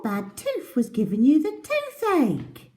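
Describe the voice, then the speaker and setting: A brave little male mouse from a storybook, voiced by a woman reader, speaks in a high, swooping voice. He is kind, eager and reassuring. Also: huge pitch swings, takes it slowly, mellow, a little hiss or hum, exaggerated voice. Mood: chirpy.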